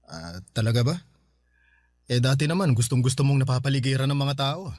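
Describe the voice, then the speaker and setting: A man's voice: medium pitch, fairly quick, darkish tone, no room sound, studio quality, lightly acted. A male actor with a Filipino accent playing a patient, awkward older brother in a radio drama, coaxing and hesitant. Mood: gently probing. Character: conciliatory older brother back from years abroad, patient, awkward.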